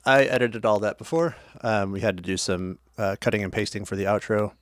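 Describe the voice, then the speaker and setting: Low-voiced man explaining his editing. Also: brisk, no echo, clean studio sound. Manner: chatty, self-correcting, talks while working. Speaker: audio editing tutorial host. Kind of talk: casual screen-share tutorial.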